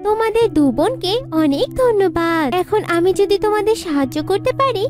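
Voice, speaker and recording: A woman voicing a kind, grateful wish fairy in a cartoon, explaining things gently. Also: very high-pitched, animated, fairly quick. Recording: music behind the voice.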